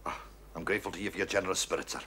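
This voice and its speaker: An actor playing a formal, deferential but shrewd police inspector with a Scottish accent and a husky voice in a period drama. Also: male voice, deep voice, steady tone, very fast, pushed and bright, heavily acted. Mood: apologetic, formal. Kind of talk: period television drama dialogue.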